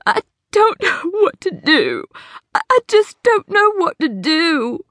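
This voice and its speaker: A woman voicing a distraught middle-aged woman who has been cheated of her savings, talking high and pleading. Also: very animated, moderate pace, a bit bright, no echo, clean studio sound, exaggerated voice. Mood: anxious.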